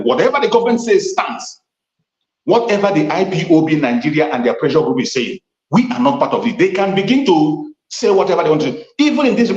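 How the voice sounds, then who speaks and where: Emphatic man with sweeping pitch. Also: darkish tone, echoey room, lo-fi sound. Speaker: speaker on a live stream. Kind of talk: impassioned address.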